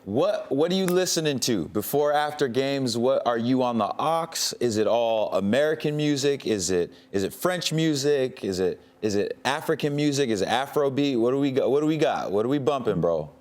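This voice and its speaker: A man's voice: mid-range voice, expressive, normal speed, few pauses, a little mellow. A man hosting a morning football show, asking playful, repetitive questions in a casual, upbeat way. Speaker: show host. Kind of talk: casual sports talk.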